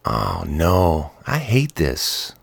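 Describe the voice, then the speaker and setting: A man reading an audiobook, voicing a nervous, eager-to-please woman in her mid-thirties with a soft, anxious manner. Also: sits low, big pitch swings, drawn-out words, dry recording, pitched above the performer's own voice, heavily acted. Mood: pleading. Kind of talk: audiobook character voice.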